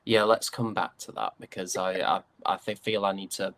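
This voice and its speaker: A man's voice: sits low, flat delivery, normal speed, fairly bright tone. A man giving casual interview answers as a guest, thoughtful and hesitant. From United Kingdom.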